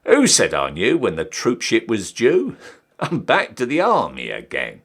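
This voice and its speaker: Man acting a cheeky old-style British soldier, wildly animated. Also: fairly low pitch, unhurried, breathy edge, heavily acted. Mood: stirred, proud. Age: young adult. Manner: theatrical, emphatic, swooping delivery. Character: cheeky down-at-heel ex-soldier re-enlisting, Victorian British army ranker. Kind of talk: dramatic poetry recital.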